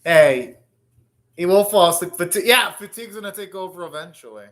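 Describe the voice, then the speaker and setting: A man's voice: high voice, expressive, fairly quick, a bit bright. Man giving casual, rambling anime reactions. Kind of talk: casual live reaction commentary.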